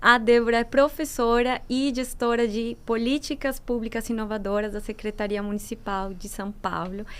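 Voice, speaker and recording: A woman's voice: a little high, smooth. Colombian woman moderating a panel. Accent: Colombian Spanish. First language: Spanish.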